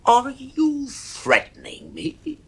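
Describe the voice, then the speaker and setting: A man's voice: very high voice, wildly swooping pitch, slow, slightly rough, heavily acted. A man playing a stern, worried father in an audio drama, firm but exasperated and anxious. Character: stern, worried father losing authority over his children.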